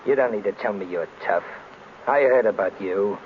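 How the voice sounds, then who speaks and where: An actor playing a tough, jittery gangster with clipped, slangy hoodlum talk, in 1930s radio drama style. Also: male voice, lowish voice, normal speed, lightly acted. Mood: menacing, urgent. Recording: noisy muffled vintage radio recording.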